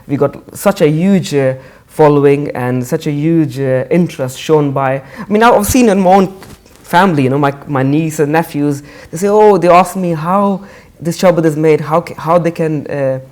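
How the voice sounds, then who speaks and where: A man's voice: a little high, normal speed, hardly any pauses, darkish tone. A man hosting a TV interview, eagerly affirming and speaking with many fillers. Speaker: TV interviewer, host.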